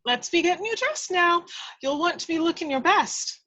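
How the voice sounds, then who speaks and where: A woman's voice: very high-pitched, expressive, moderate pace, pushed and bright, voice pushed up, full character voice. A woman voicing a chatty, motherly Irish housekeeper who fusses and bustles in a screenplay reading. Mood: fussing.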